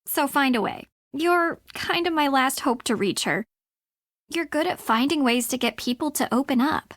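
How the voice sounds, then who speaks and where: A brash young woman from a video game, with a high voice. She is blunt, sarcastic and impatient, and the recording is dry and close. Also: lively intonation, moderate pace, a bit bright, no room sound. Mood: urging. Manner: blunt, snarky.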